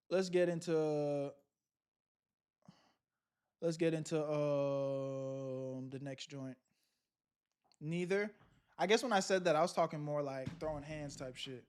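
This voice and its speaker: A young man streaming live, chatting casually with his viewers in loud, laughing, slang-heavy banter. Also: a little high, clean tone. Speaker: streamer reacting to videos.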